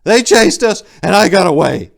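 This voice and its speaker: A man's voice: high voice, sing-song, normal speed, gravelly, pitched above the performer's own voice, heavily acted. A man voicing a traumatized, resentful warrior monk who strains with barely contained rage in a dramatic story reading. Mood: shouting, defensive. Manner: anguished, straining, bursting into shouts.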